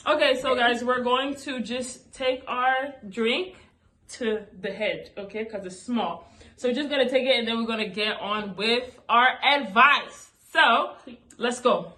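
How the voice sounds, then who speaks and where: A young woman hosting a laid-back video chat with friends, her voice high and bright. She is bubbly and giggly, often breaking into exclamations. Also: unhurried. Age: young adult. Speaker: vlogger hosting a Q&A.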